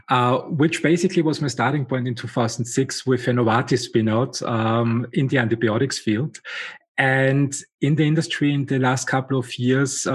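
A man hosts an interview with a flat, even delivery, pausing often on ums and uhs. His voice sits at a middle pitch and moves little. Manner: measured, hesitant, many fillers. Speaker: podcast host. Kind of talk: interview hosting.

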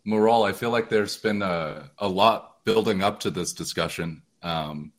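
A man's voice: deep voice, a little slow. An American man hosting a podcast interview, introducing his guest in a loose, rambling way with many fillers and restarts. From United States. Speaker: podcast host.

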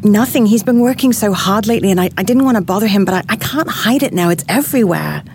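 A woman's voice: mid-range voice, expressive, fast talker, darkish tone, close to an everyday voice. Actress playing anxious, hesitant pregnant woman, noisy background. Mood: uneasy, sheepish. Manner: hesitant, stammering. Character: pregnant woman, anxious, apologetic, downplaying her symptoms. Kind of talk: audio drama acting.

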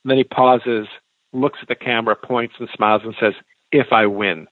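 A man's voice: mid-range voice, fairly even intonation, moderate pace, dry recording, narrow, tinny audio. An American man speaking as an expert guest, explaining his points in answer to interview questions. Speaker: expert guest, law professor and author.

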